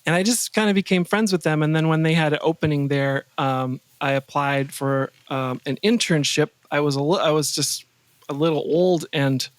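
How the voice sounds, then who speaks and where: Man, middle-aged, fairly high voice, dry close sound, conversational interview guest, halting phrasing. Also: steady tone, no echo. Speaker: podcast guest, composer and sound designer. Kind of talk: casual interview chat.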